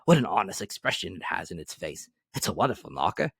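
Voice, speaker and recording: A man voicing an elderly reformed miser in a dramatic storybook reading, giddy and gleeful, with excitable, exclamatory delivery. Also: low voice, quick pace, vocal fry, slightly rough, no echo, clean studio sound, heavily acted. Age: older. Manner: excitable, exclamatory, whooping outbursts.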